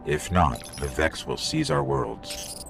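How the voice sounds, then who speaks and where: A man's voice: low voice, sing-song, slow, vocal fry, over music, exaggerated voice. An actor playing a small, curious male robot AI companion in a video game, speaking in earnest, clipped remarks. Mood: resolute. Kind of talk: video game cutscene voice acting.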